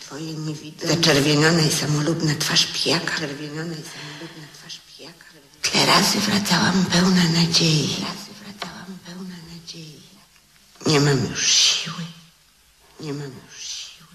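An actress playing the remembered voice of a hurt, reproachful wife in a radio drama, incanting and repeating each phrase. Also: female voice, low-pitched, fairly even intonation, moderate pace, fairly bright tone. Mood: reproachful, despairing. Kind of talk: 1970s Polish radio drama acting. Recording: echoing radio drama voice effect.

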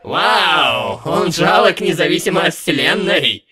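A man's voice: on the high side, expressive, takes it slowly, music in the background. A man voicing a mocking, gleeful triangle demon from a visual novel, taunting and theatrical.

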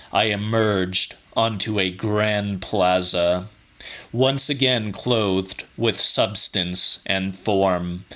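A man giving a dramatic, theatrical reading of a horror audiobook in measured phrases. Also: fairly low pitch, bright, forceful tone. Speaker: audiobook reader. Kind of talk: dramatic scripted horror narration.

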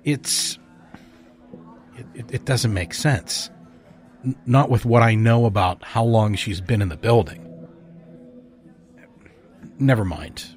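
Loyal, guarded man in a radio play; lowish voice, natural conversational delivery. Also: takes it slowly, long pauses, a little mellow, soft background music. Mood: earnest, hesitant. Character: Tom, steady, loyal, guarded male friend of an agent. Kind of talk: naturalistic audio drama dialogue.

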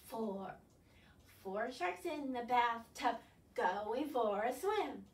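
An older woman leading a children's storytime as a librarian, animated and instructing, talking directly to young viewers with questions. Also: on the high side, very slow. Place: small living room, indoors. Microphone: far camera. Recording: roomy, distant camera microphone.

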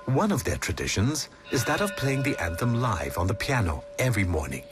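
A man narrating a documentary in a measured, scripted voice. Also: on the low side, very animated. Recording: voice-over with loud music bed.

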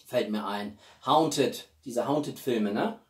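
A man's voice: mid-range voice, slow pace. A man, chatting casually to camera as an opinionated film reviewer, speaking colloquially and with emphasis. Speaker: YouTube film reviewer and channel host. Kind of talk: casual chat to camera about a film collection. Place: small room at home, indoors. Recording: roomy, distant microphone.

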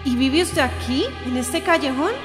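A woman's voice: high-pitched, normal speed, natural voice. A woman playing an anxious, hungry poor girl in a radio drama. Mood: curious, wary. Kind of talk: student radio drama reading. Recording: echoey hall-like room, music behind.

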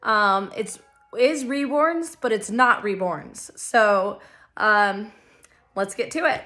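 A woman in her thirties hosting a casual vlog, raspy and low on energy from a cold, chatting with many fillers in her living room. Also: slow pace, pushed and bright, faint music behind. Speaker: vlog host. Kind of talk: casual chat to camera.

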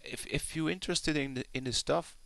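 A man's voice: on the high side, brisk, vocal fry, a bit bright, dry recording. A man giving casual, rambling, self-deprecating commentary as a YouTuber over a screen recording.

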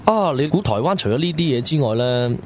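A man's voice: medium pitch, expressive, fairly quick, a little mellow, slight background noise, close to an everyday voice. A man with a Hong Kong Cantonese accent, playing a sulky, evasive young man in a radio drama. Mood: guarded.

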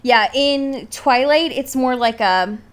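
A woman's voice: high voice, takes it slowly. A woman co-hosting a podcast, explaining things in casual, informal chat with some swearing. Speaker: podcast co-host. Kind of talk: casual film discussion chat.

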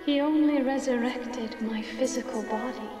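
An actress playing a gentle, solemn female ghost in a video game cutscene, speaking formally and pleadingly. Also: fairly high pitch, normal speed, close to an everyday voice. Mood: solemn, sorrowful. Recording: echoey hall, distant, music behind.

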